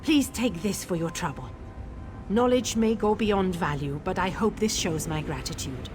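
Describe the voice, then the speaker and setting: A woman voicing a defensive but soft-hearted researcher in a fantasy video game, prickly and expressive. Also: fairly low pitch, normal speed, a little hiss or hum, close to an everyday voice. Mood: relieved, attached. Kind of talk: AI-voiced video game dialogue.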